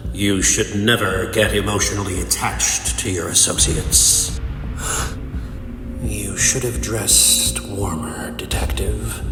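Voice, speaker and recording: A man playing a bitter, grief-stricken, vengeful ice supervillain in a comic dub, with theatrical, brooding delivery. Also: on the low side. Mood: cold, brooding. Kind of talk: dramatic comic dub acting. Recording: echoing reverb, music underneath. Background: music.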